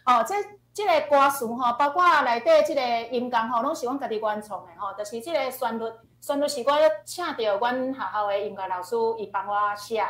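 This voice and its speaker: A woman with a Taiwanese accent teaching in an informal, animated lecture style, often ending phrases with tag particles. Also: fairly high pitch, a little fast, few pauses. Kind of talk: informal lecture, explaining song lyrics. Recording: roomy, echoing online-class audio.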